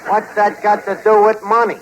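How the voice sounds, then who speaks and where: An actor playing a wisecracking, put-upon, slangy tavern manager in an old-time radio comedy. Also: male voice, high voice, monotone, normal speed, lightly acted. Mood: exasperated. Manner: colloquial, ungrammatical, dry. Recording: noisy vintage radio recording.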